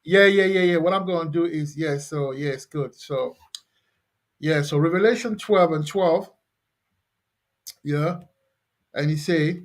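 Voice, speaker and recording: A man's voice: fairly high pitch, unhurried, pauses often. A man hosting an online scripture lesson, talking loosely with many fillers and repeats. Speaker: host teaching scripture. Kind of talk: casual religious teaching over video call.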